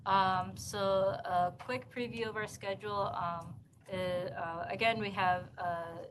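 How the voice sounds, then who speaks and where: A woman giving course announcements as an instructor in a seminar room, speaking in a halting, hesitant way. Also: medium pitch, steady tone, fairly bright tone. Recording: noisy room recording.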